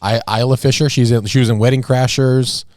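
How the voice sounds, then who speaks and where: A young man co-hosting a comedy podcast, laughing often and teasing in lively banter. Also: mid-range voice, brisk, no room sound, clean studio sound. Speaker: podcast co-host. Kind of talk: casual comedic chat.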